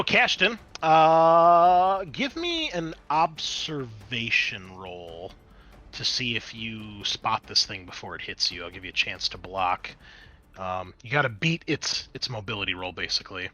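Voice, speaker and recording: A man acts as game master for a tabletop roleplaying session. His voice is bright and forceful at a middling pitch. He moves between offhand explanations and dramatic description of the scene, with faint music underneath. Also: animated, unhurried.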